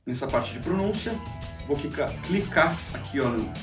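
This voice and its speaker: A man's voice: on the high side, lively intonation, normal speed, far from the mic. A Brazilian man with a Brazilian Portuguese accent, hosting a casual vlog and chatting to camera with lots of fillers. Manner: chatty, conversational, frequent fillers. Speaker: YouTube channel host. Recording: roomy echo, faint music behind.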